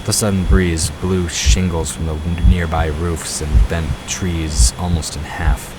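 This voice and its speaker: A man's voice: low-pitched, level tone, measured pace, noisy background. A man narrating a scripted fantasy story as the narrator of an audio drama.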